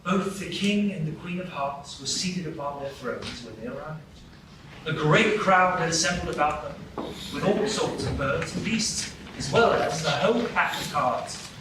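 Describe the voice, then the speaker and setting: A man narrating a live radio play in a measured storytelling manner. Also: on the high side, animated. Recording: echoey live stage from far off, with noise.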